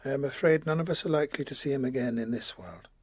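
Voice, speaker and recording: An actor playing a protective, reassuring gentleman and storyteller, gentle and measured, in a period audio drama. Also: medium pitch, husky, dry recording, close to an everyday voice. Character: reassuring, protective gentleman who also narrates the tale.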